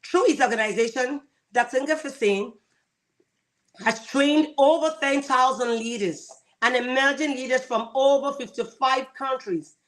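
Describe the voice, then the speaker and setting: A woman with a slightly high voice hosts an interview. She reads out an introduction and asks questions in an eager, lively way, laughing easily. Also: a little slow, low-quality recording. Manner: enthusiastic, laughs often. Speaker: host.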